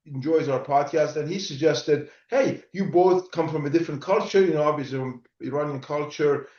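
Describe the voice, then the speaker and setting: Brief: enthusiastic rambling male co-host, fairly high voice, set back from the mic in a roomy space, dull sound. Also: moderate pace, darkish tone, recorded from a distance. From Iran. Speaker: podcast co-host. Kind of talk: casual conversation.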